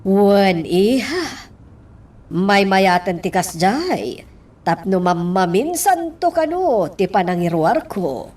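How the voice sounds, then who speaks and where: A woman playing an excitable, money-minded mother in an Ilocano radio drama. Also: medium pitch, slow pace. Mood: insistent. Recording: distant mic with faint noise.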